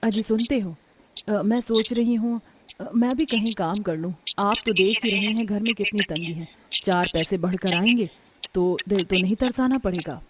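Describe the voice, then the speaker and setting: A woman's voice: mid-range voice, moderate pace, noisy background, lightly acted. A woman voicing a poor, dutiful young daughter-in-law in a melodramatic story, earnest and pleading. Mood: worried. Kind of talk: melodramatic Hindi moral story acting.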